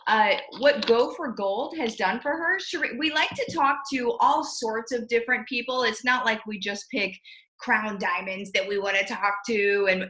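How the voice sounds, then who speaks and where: Peppy woman host, bright and forceful voice, echoey room, casual interview chat. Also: a little high. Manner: peppy, addresses viewers as "you guys".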